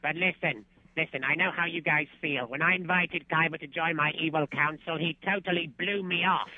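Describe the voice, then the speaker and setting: A man playing a scheming villain leader with a sharp, bright, fairly high voice. He delivers absurd lines deadpan, as if stating plain facts. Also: a little fast, low-quality recording. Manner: deadpan, matter-of-fact. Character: scheming young male cult leader villain.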